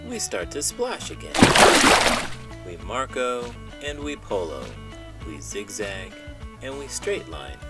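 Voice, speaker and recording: A man reading a children's storybook aloud with animated, dramatic emphasis, in the manner of an elementary school teacher. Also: on the low side. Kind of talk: expressive storybook read-aloud with puppet banter.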